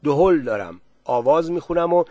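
An eager village singer and drummer, voiced by a storyteller, bragging insistently about himself. His voice is raised and somewhat higher than the narration, and it is recorded close and dry. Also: lively intonation, mellow, no room sound, muffled recording, lightly acted. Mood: boastful. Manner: boastful, insistent.

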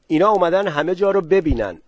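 A cheerful, sly guide, as a narrator puts him on, smoothly persuading wary villagers. His voice rides higher and louder than the narrator's and is recorded close and dry. He talks in an easy, coaxing manner. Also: sing-song, no room sound, lo-fi sound.

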